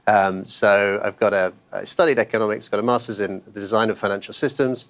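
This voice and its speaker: A man's voice: fairly low pitch, fairly even intonation, fairly quick, fairly bright tone. A man speaking as a guest on a podcast interview, talking conversationally with many fillers and self-corrections. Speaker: interview guest, investment manager.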